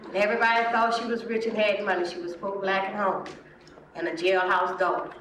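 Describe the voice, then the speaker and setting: A woman's voice: medium pitch, steady tone, brisk. A woman from New Orleans telling a personal story to a group, plain-spoken and colloquial. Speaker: participant sharing with a group. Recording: very echoey room, distant, clear noise.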